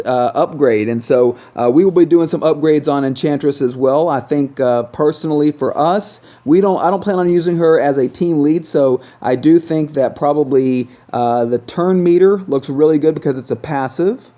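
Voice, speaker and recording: A man's voice: fairly high pitch, warm tone. A man hosting a casual game review, talking conversationally and thinking aloud as he goes. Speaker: gaming channel host. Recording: dull, lo-fi sound.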